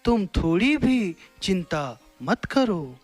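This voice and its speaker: A man's voice: sits high, sing-song, slow pace, no room sound, faint music behind, exaggerated voice. A man voicing a sly, falsely friendly crocodile in a children's cartoon, coaxing and theatrical. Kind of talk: children's cartoon story acting.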